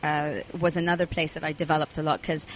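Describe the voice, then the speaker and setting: Woman guest on a podcast, lowish voice, thoughtful with lots of restarts, bit of background noise. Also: flat delivery, fast. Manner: reflective, many restarts and fillers. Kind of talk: conversational interview.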